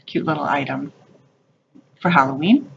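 Woman, shopping vlogger, gushing, lowish voice, faint store hum. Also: normal speed, creaky, muffled recording. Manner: gushing, chatty, calls things cute. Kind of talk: casual shop-with-me narration. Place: craft store aisles, indoors.

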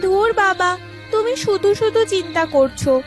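A woman playing a caring farmer's wife in a village cartoon, homely and gently scolding. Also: extremely high pitch, animated, moderate pace, faint music behind. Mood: reassuring. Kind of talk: cartoon story dialogue.

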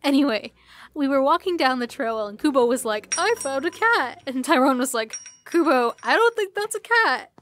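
A woman's voice: high voice, expressive, normal speed, fairly bright tone, slight background noise. A woman playing a friendly, easygoing young woman in a casual audio drama. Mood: amused storytelling.

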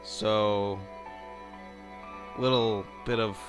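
A man's voice: lowish voice, fairly even intonation, extremely slow, soft background music. Rambling man doing casual game commentary. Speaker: let's play host.

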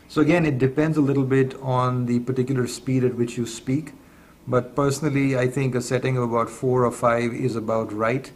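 A man giving a step-by-step instructional product demonstration in a measured, methodical way. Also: medium pitch, darkish tone, slight background noise.

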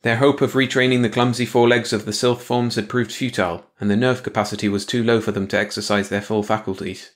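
Lowish-voiced man narrating a story. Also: fairly even intonation, quick pace, hardly any pauses. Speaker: audiobook narrator. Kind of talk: scripted story narration, bedtime read-along.

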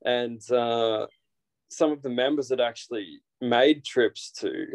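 A man in his thirties with an Australian accent, a guest talking casually over a video call from a small room, relaxed and full of fillers. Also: medium pitch, slow pace. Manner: relaxed, rambling with frequent fillers and restarts. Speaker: guest, naturalist guide. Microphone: video call, built-in device mic.

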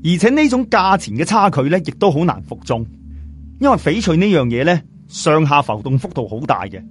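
A man with a Cantonese accent narrating a story as an audiobook storyteller, in an animated, conversational, explanatory way. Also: on the high side, fairly quick, faint music behind. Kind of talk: animated scripted narration with colloquial asides.

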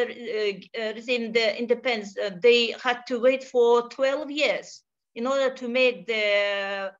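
A woman from Kazakhstan, a native Kazakh speaker with a Kazakh accent, speaking hesitantly with many pauses and fillers on a video call. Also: on the high side, fairly bright tone. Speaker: panel speaker. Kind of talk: prepared remarks in a video call. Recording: dull lo-fi video call audio.

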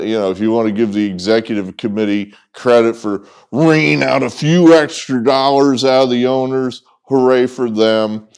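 A middle-aged man giving opinionated, off-the-cuff sports commentary in an emphatic, halting and at times sarcastic way. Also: mid-range voice, a little mellow. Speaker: sports vlogger, host. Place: indoors, small wood-walled barn room with whiteboards.

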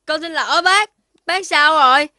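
A woman's voice: high-pitched, normal speed, bright, forceful tone, no echo, studio quality. A woman voicing an earnest, whiny young pup, a childlike playmate in a children's cartoon. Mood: apologetic. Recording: music behind voice.

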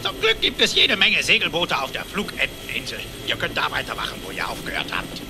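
An actor playing a brusque, commanding old rich uncle duck in a German cartoon radio play. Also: male voice, fairly high pitch, huge pitch swings, fairly quick, noisy background. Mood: brusque, bossy.

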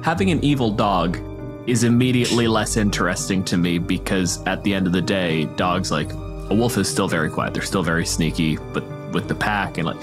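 A man with a low-pitched voice talks casually as a podcast panelist. He explains game ideas at length in vivid, descriptive runs, with clear music playing behind him. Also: a little fast. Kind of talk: casual panel discussion about tabletop games.

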